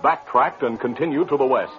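A man's voice: medium pitch, fairly quick, over loud music. A man delivering scripted, emphatic narration as an old-time radio announcer. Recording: noisy, dull old radio recording.

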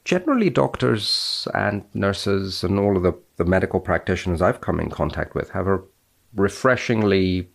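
Male scientist guest, low register, precise and measured when explaining or reading a paper aloud. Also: expressive, gravelly.

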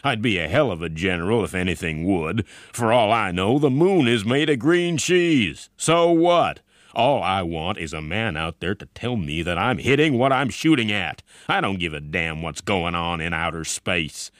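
Man playing gruff, barking army general. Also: low-pitched, animated, moderate pace, fairly bright tone, dry recording, studio quality. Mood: scornful. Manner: barking, blunt, commanding. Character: gruff, bullying, hot-tempered male army general.

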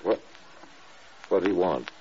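A man's voice: low-pitched, a little slow, darkish tone. An American man acting a stoic injured colonel in a mid-century radio drama, speaking with dutiful understatement. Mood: peevish, joking. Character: stoic injured male colonel in hospital bed. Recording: muffled old radio with faint noise.

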